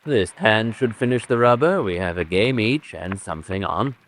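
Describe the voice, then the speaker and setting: An actor playing a middle-aged father and host in an old-time radio drama, formal, hospitable and quietly probing. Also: fairly low pitch, expressive, moderate pace.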